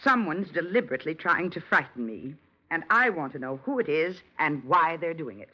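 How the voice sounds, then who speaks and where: An actress playing a stern, fearless gray-haired spinster with a brusque, no-nonsense manner in a 1940s radio drama. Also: female voice, low-pitched, sing-song, moderate pace. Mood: brisk, matter-of-fact. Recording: muffled vintage radio recording.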